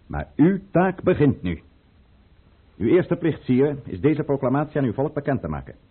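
An actor playing a proud, resolute conspirator count in a radio drama, with grave, commanding, theatrical delivery. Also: male voice, mid-range voice, very animated, normal speed, husky. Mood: solemn, resigned. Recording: muffled, narrow-band old radio play recording.

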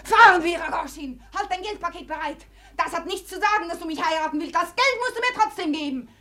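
An actress in a 1950s German radio drama playing a proud, wounded, reckless young society beauty, theatrical and commanding, with bitter resignation underneath. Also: female voice, very high-pitched, animated, fairly quick, pushed and bright, full character voice. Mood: wild, commanding.

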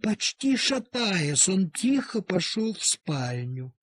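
Expressive, high-pitched man telling a story slowly. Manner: theatrical, deliberate, with dramatic pauses. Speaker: storyteller, audiobook reader. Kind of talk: expressive literary narration of an animal story. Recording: cassette transfer of audio play.

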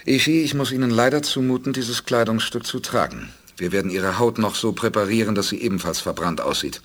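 Calm bossy space commander, bit husky, lively intonation, 80s radio drama. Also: male voice, fairly low pitch, a little fast. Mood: calm authority. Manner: commanding, precise briefing. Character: resolute, calm male spaceship commander, idealistic planner. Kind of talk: German 1980s radio play acting.

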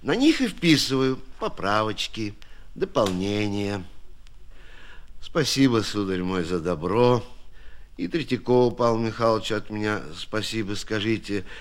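An actor in a Soviet radio play voicing an elderly, modest, good-humoured scholar with folksy, old-fashioned speech. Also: male voice, on the low side, animated, a little slow. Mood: earnest, weary.

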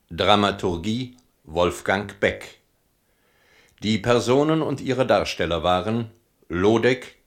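A man reading radio play credits as a scripted announcement. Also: on the low side.

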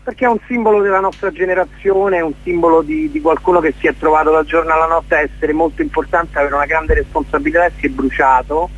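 A man's voice: on the high side, steady tone, fast talker, hardly any pauses. A man being interviewed casually as a theatre guest, searching for his words as he explains things. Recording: dull lo-fi radio sound.

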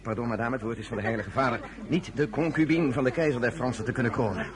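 A man's voice: medium pitch, fast, natural voice. A man playing a deferential courtier in a radio drama, formal but flustered and stammering. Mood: awkward, deferential. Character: flustered, deferential male courtier bearing awkward news. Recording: loud crowd murmur behind.

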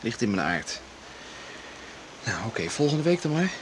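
An actor plays an eager, reassuring boyfriend in a 1980s radio drama. His mid-pitched voice moves in a lively way and he sounds informal and easygoing. Noise is clearly audible. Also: moderate pace, natural voice. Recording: noisy 1980s radio recording.

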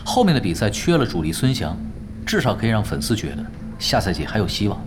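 An actor in a radio drama playing a composed, dry-witted esports veteran who explains things in a matter-of-fact way. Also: male voice, on the low side, sing-song, quick pace. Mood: calm analysis. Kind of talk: Chinese radio drama acting.

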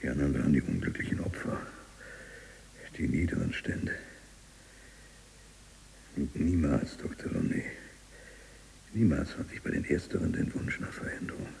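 An actor playing an embittered imprisoned libertine writer in a radio drama monologue, gravelly and breathy at times, brooding and deliberate in delivery. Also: male voice, extremely low pitch, sing-song, a little mellow, slight background noise, exaggerated voice, low-quality recording. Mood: bitter, confiding.

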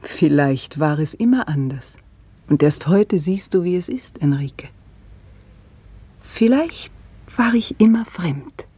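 An actress playing a young widow who speaks slowly and often in a whisper, in a radio play. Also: female voice, on the low side, lively intonation, husky, no room sound, full character voice. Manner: slow, hushed, often whispering. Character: Ines, young widow, estranged, bitter. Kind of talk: radio drama acting.

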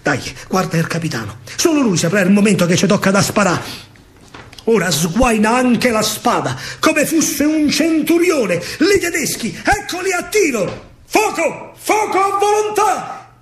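A man's voice: high voice, sing-song, normal speed, a bit bright. A man with a Roman accent performing a dramatic, rhythmic verse monologue as a soldier recounting a battle. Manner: declamatory, rhythmic, theatrical. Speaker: storyteller actor. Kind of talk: dramatic verse monologue with live music, radio theatre. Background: music played live.

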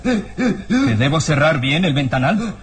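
An actor playing a hard-nosed, uneasy police inspector in a radio serial, with a theatrical, menacing way of questioning. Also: male voice, fairly high pitch, sing-song, takes it slowly, slight background noise. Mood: taunting, menacing. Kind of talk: old radio serial acting. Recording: radio drama with music underneath.

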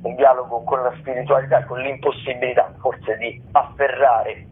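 A man hosting radio arts listings in a semi-improvised, halting way, often correcting himself. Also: mid-range voice, little pitch movement, breathy edge, narrow, tinny audio. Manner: hesitant, halting delivery with self-corrections. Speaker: radio host presenting theatre listings. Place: radio studio.

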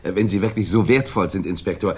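An actor in a 1960s German radio drama playing a detective's earnest, eager gentleman companion, quick to offer theories. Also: low voice, breathy edge. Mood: eager, speculative.

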